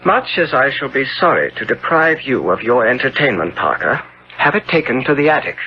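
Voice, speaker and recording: An actor playing a cold, arrogant, selfish young gentleman in a theatrical, mocking manner, in a 1970s radio drama. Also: medium pitch, sing-song, fairly quick, slight background noise, close to an everyday voice. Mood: imperious. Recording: muffled, humming old radio broadcast.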